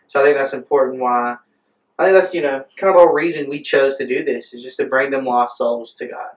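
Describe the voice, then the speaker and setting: A man's voice: mid-range voice, fairly quick. An earnest young man in his twenties speaks in a casual faith podcast, with some hesitation as he finds his words. His voice echoes around the room. He sounds distant from the microphone. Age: 20s. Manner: earnest, hesitant, uses fillers. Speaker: podcast co-host.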